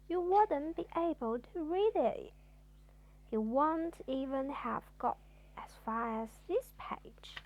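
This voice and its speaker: A woman's voice: high voice, darkish tone, poor audio. A woman reads a children's storybook expressively, like a mother at bedtime, drawing out words for theatrical emphasis. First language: Chinese.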